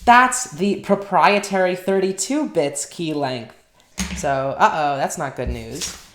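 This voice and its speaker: A woman giving a casual technical walkthrough, explaining each step out loud while she works on a device. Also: lowish voice, animated, takes it slowly, echoey room. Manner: explains step by step while working, thinking aloud. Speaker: hardware tinkerer and channel host.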